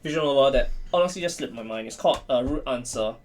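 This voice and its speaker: A man's voice: medium pitch, fast, slight background noise. Rambling man, casual podcast guest. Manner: rambling, repeats himself, says "right" as a tag. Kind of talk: casual chat.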